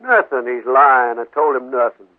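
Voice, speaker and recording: A man's voice: mid-range voice, lively intonation, moderate pace, natural voice. An American man playing a cocky, hard-drinking hired gunman in a 1950s radio western, brash and suspicious in his talk. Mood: uneasy, suspicious. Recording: tinny, narrow 1950s radio broadcast.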